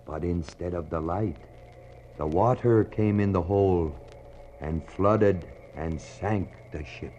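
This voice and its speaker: A man's voice: low-pitched, dark tone, a little hiss or hum. An actor playing an Inuit man solemnly recounting his fathers' tale in simple, wondering phrases, in a 1960s radio drama. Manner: slow, simple declarative storytelling with dramatic pauses. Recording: muffled 1960s radio recording.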